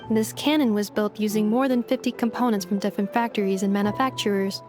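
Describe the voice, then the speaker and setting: A woman voicing a polite robot caretaker in a video game, formal, precise and gently stern. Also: medium pitch, fairly even intonation, music in the background, natural voice. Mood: matter-of-fact. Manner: formal, measured, composed.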